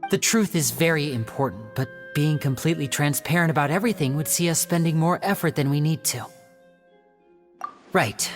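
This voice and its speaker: A woman playing a polite, earnest young male magician in a video game, with a soft-spoken manner. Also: low-pitched.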